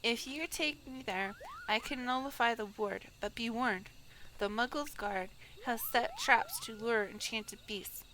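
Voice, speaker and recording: A woman's voice: on the high side, wildly swooping pitch, a little slow, bright, forceful tone, slight background noise, exaggerated voice, low-quality recording. A woman voicing a small, earnest moogle creature from a fantasy game, in an emphatic, declamatory way. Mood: urgent. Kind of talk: reading fantasy game dialogue aloud.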